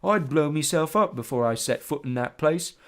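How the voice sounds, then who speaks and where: A reader voicing an older male bus driver who talks slowly and gruffly in few words. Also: medium pitch, animated, natural voice.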